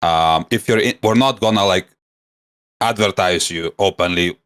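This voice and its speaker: A man's voice: low-pitched, normal speed, fairly bright tone, clean tone. A man with a mixed Lithuanian English accent, chatting casually as a podcast guest and rambling with fillers. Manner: rambling, many fillers and restarts. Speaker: guest, streamer.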